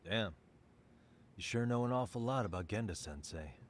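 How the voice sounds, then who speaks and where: A man voicing a deep, blunt detective. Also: lively intonation, moderate pace, a little hiss or hum, lightly acted. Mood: sardonic. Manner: dry, blunt, sardonic. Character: blunt, sardonic male detective and lawyer.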